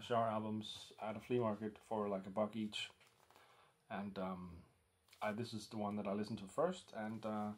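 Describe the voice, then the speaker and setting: Hesitant low-voiced man chatting. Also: slight background noise. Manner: hesitant, many ums and restarts. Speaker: record collector vlogger. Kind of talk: casual talk to camera. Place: home room lit by a lamp.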